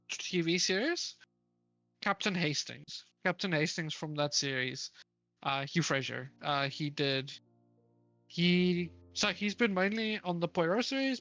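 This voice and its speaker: A woman's voice: fairly low pitch, a bit bright. A woman co-hosting a book club stream, chatting casually, rambling and stopping to search for names. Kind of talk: casual chat.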